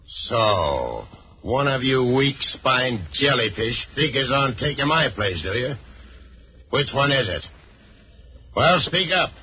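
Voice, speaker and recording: An actor playing a ruthless, commanding outlaw boss in an old radio western. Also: male voice, medium pitch, sing-song, takes it slowly. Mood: angry, commanding. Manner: menacing, commanding, accusing. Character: ruthless, vicious male outlaw boss plotting a takeover. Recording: dull, noisy old radio recording.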